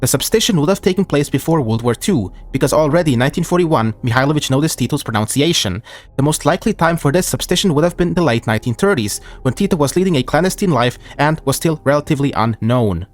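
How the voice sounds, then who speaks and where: A man reading scripted history narration in a measured way, quoting documents aloud. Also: on the high side, animated.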